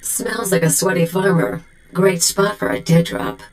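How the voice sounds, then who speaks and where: A woman's voice: lowish voice, a little slow, reverberant, over music, lightly acted. A woman playing a mocking, cocky sidekick in a video game, sardonic and teasing.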